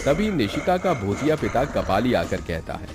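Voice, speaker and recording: A man's voice: mid-range voice, big pitch swings, normal speed, music in the background. A man giving scripted narration for a horror cartoon story.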